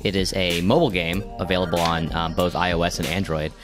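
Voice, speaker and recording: A man with a low voice talks casually as a podcast co-host, explaining as he goes. Music plays clearly behind him. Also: fairly even intonation, fast.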